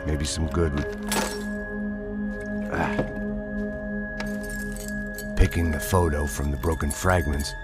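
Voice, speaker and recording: An actor playing a cynical, world-weary American bodyguard in a video game, terse and sardonic in noir style. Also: male voice, extremely low pitch, fairly even intonation, slow pace, pauses often. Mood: brooding. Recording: music loud behind the voice.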